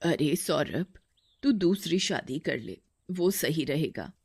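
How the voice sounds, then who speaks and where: A woman's voice: deep voice, expressive, takes it slowly, a little mellow, slightly rough, exaggerated voice. Woman as a worried elderly grandmother. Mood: advising.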